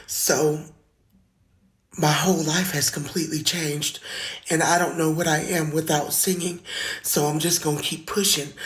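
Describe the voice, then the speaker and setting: A woman with a raspy voice and a slight lisp, a singer telling her own story to a phone camera in an earnest, heartfelt way. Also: low voice. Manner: earnest, heartfelt, plain-spoken. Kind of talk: personal testimony to camera. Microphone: phone video.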